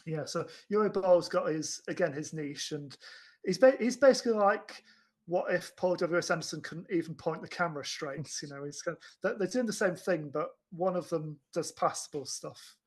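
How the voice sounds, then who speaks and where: A man's voice: on the high side, moderate pace. A man chatting casually as a podcast host about films, with a hesitant delivery full of repeated restarts. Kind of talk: casual chat reviewing films.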